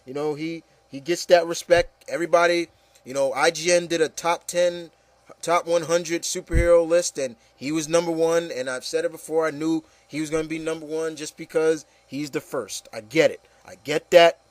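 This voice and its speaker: A man's voice: a little high, steady tone, moderate pace, no room sound. A man hosting a casual, opinionated discussion, speaking emphatically and repeating phrases to drive points home. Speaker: podcast host.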